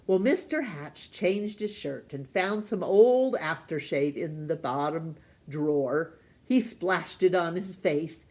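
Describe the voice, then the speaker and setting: A woman reading a children's storybook as narrator, expressive, with dramatic pauses and emphasis. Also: low-pitched. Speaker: storytime reader.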